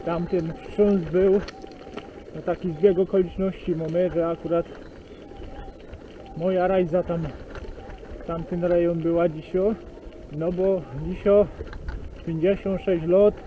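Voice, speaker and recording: A man with a Silesian accent chatting casually and slowly while cycling outdoors on country roads, recorded on a bike camera. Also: high-pitched, narrow, tinny audio, slight background noise. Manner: slow, rambling, relaxed chat with pauses. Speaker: cycling vlogger. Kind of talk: casual spoken commentary while riding. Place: outdoors on a bike on rural roads and forest tracks.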